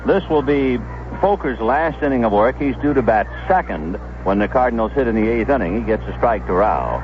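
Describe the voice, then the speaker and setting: A man's voice: medium pitch, muffled recording, background noise. A man giving live play-by-play baseball commentary as a radio announcer on a 1970s broadcast.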